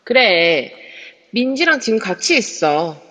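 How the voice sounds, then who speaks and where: A woman's voice: medium pitch, very animated, a little slow, pushed and bright, heavily acted. A woman playing an eager, skeptical young woman hunting for a scoop in an amateur audio drama. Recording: dull reverb-heavy online audio.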